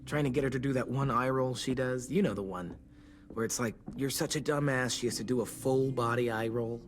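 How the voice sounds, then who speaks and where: A man's voice: medium pitch, a little fast, slight background noise, natural voice. Man playing a charming, wry teenage boy survivor. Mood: fond, earnest. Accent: American. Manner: hesitant, wry, conversational. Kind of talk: naturalistic video game voice acting. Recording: music behind the voice.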